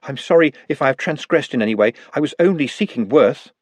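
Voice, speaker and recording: A man voicing a timid, posh and very articulate small man in an audiobook, formal and precise, with a husky voice. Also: mid-range voice, animated, fairly quick, no room sound, natural voice.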